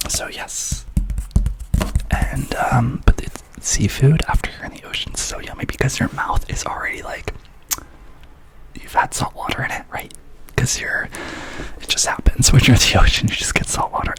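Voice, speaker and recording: Young male ASMR host, hushed whisper, lively rise and fall, rambling and chatty. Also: medium pitch, poor audio. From Massachusetts. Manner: soft whisper, rambling with fillers and repeats.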